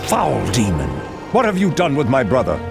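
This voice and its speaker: A noble fantasy game druid. His very deep, gravelly voice is grave and commanding, swinging widely in pitch over a music bed. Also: big pitch swings, unhurried, full character voice. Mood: outraged. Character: stern, noble elven druid elder. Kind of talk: video game cutscene acting.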